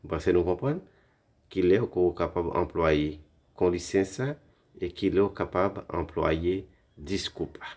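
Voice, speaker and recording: Man, low voice, language teacher, repeats each phrase slowly. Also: a little mellow. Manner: slow, repeats phrases carefully for practice. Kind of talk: phrase lesson for learners.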